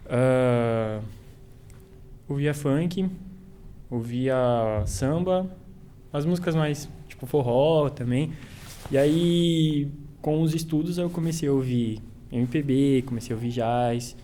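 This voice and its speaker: A young man, a music student, talking haltingly and searching for words. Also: mid-range voice, mellow. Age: young adult. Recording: faint ambient noise.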